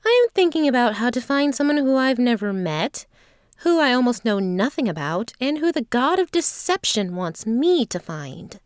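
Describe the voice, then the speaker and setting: Woman as animated, earnest traveling performer. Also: on the high side, moderate pace, clear, resonant tone, no room sound, studio quality. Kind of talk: fantasy audio drama acting.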